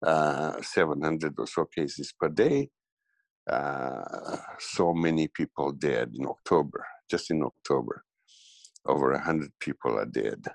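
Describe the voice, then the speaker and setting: A middle-aged man from Tanzania giving a political interview, speaking haltingly with many fillers and restarts. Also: rumbling low, vocal fry, no room sound. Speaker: guest commentator.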